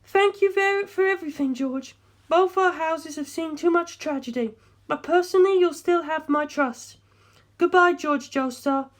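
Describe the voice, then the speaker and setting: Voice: very high-pitched, normal speed, pitched above the performer's own voice. A narrator voicing an anxious, tearful, well-bred young woman in audiobook dialogue. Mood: formal, subdued.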